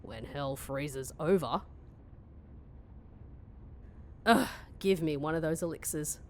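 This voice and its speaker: A woman's voice: deep voice, moderate pace, a little hiss or hum, natural voice. A woman playing a grumpy, sardonic, hungover young woman in a comedic fantasy audio drama, sarcastic and dry. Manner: sarcastic, mock-sweet then dry.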